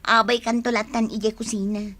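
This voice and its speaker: A woman's voice: fairly high pitch, flat delivery, normal speed, bright, forceful tone. A woman acting as a proud, blunt bride-to-be in an Ilocano radio drama, showy and emphatic, with an Ilocano Filipino accent. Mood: breezy, dismissive. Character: proud young bride-to-be, blunt and breezy with friend.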